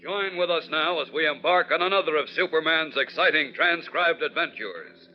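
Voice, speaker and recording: A man's voice: on the high side, moderate pace. A man narrating a 1940s radio adventure in a dramatic, formal announcer style. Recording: muffled vintage radio recording with music.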